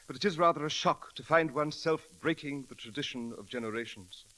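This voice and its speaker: An actor playing a prim, deferential English gentleman's servant with formal, stiff manners, in 1930s radio comedy style. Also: a little high, fairly quick, poor audio. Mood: stiffly proper.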